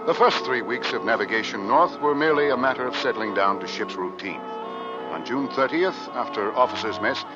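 A man's voice: mid-range voice, natural voice. Muffled vintage radio drama first mate, acted by a man. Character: earnest young first mate and narrator of an 1850s Arctic voyage. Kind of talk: 1940s-50s radio drama acting and narration. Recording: muffled old radio recording under loud music.

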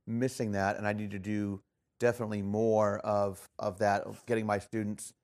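Brief: male interviewer, a bit low, halting, supportive, restarts a lot. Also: level tone, darkish tone. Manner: agreeable, frequent backchannels, halting restarts. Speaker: interviewer, former college teacher. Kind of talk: conversational interview.

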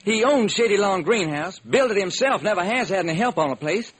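An actor playing a baffled, folksy police lieutenant in an old-time radio detective drama, talking in earnest slang. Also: male voice, high-pitched, lively intonation, brisk, slightly rough. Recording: noisy vintage radio recording.

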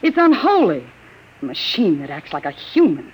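A woman's voice: fairly low pitch, huge pitch swings, normal speed, slightly rough, full character voice. An actress playing an anxious, world-weary woman in an old radio drama, wary and melodramatic. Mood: frightened. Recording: dull, muffled vintage radio recording.

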